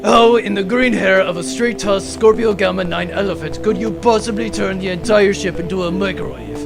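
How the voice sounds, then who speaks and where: A man's voice: high-pitched, normal speed, gravelly, over music. A man playing a pompous, blustering space villain with flowery, theatrical speech in a sci-fi comedy audio drama. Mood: scornful, blustering.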